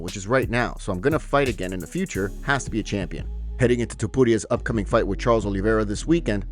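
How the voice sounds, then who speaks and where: A man presenting MMA news as scripted narration, reading out reports and quotes. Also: mid-range voice.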